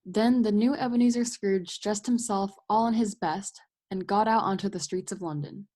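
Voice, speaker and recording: A woman's voice: mid-range voice, moderate pace, mellow, lightly acted. A woman giving scripted storybook narration as the narrator of a radio play.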